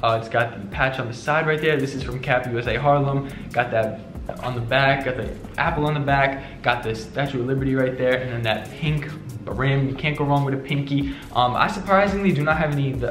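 A young man from New York showing his hat collection in a casual, chatty vlog, talking quickly with lots of fillers and slang. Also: mid-range voice, music in the background. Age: young adult. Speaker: fashion vlogger. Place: bedroom with shelves, indoors. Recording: echoey room.

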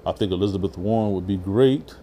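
A man's voice: low-pitched, fast talker, mellow, slight background noise. A middle-aged man chatting casually in a small barbershop, repeating words and often asking "you know what I mean". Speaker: panelist. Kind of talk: casual discussion.

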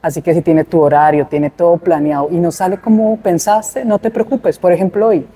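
A man's voice: high voice, fairly even intonation, moderate pace, dark tone, a little hiss or hum. A young man, chatting upbeat and casual to camera as a fitness vlogger between sets in a gym. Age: young adult. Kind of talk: casual vlog chat to camera. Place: large indoor gym, then a shopping mall walkway.

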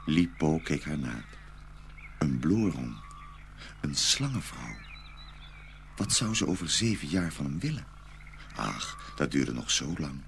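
Very deep, gravelly male storyteller. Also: very animated, slow pace, pauses often, slight background noise. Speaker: narrator. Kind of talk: dramatic storytelling of a dark fairy tale.

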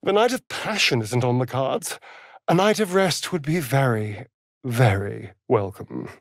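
Theatrical, drawling British vampire rogue. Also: male voice, medium pitch, expressive, unhurried, dry recording. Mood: sly. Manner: arch, drawling, melodramatic, dry wit. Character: vain, theatrical male vampire rogue, sly and catty. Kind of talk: dramatic video game acting.

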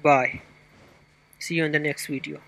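A man's voice: fairly high pitch, fairly even intonation, normal speed, vocal fry, pushed and bright, faint music behind. A man hosting a gaming channel, narrating a video guide.

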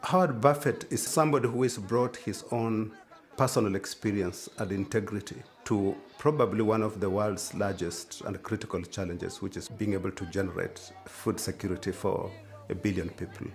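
A man giving a deliberate, formal interview soundbite about agriculture. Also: on the low side, fairly even intonation.

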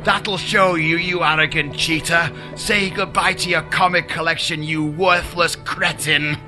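A furious young woman, acted out by a male narrator snarls with scorn and spite. The voice is raised high above the storyteller's usual pitch, in audiobook style, with music behind it. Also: fairly even intonation, a little slow, natural voice. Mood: furious, spiteful.

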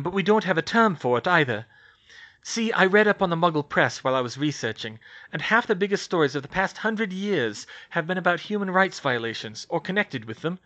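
Dude doing a smug middle-aged lady reporter, pushy, bright and bouncy. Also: a little high, pitched above the performer's own voice. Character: smug, scheming middle-aged woman gossip journalist.

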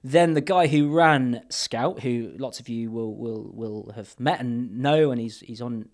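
A man in his thirties from England, a podcast guest talking casually into a boom mic, rambling with restarts and fillers and trailing off quietly. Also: a little slow. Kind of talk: casual interview chat. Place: podcast studio with brick wall. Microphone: boom mic on arm.

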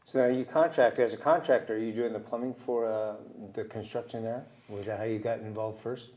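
A man's voice: lowish voice, steady tone, a little fast, darkish tone. An older man hosting a community TV interview in a studio, asking questions with short friendly affirmations. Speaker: TV show host. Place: small TV studio.

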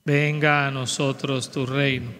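Slow-paced priest. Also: male voice, mid-range voice, flat delivery, fairly bright tone, a little hiss or hum. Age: middle-aged. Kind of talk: liturgical prayer and announcements to a congregation. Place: large church, indoors. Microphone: microphone on altar stand or handheld.

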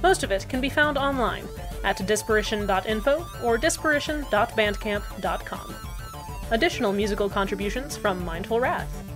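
A woman, the writer and producer, reading the end credits of her audio drama plainly. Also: on the high side. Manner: plain, matter-of-fact reading.

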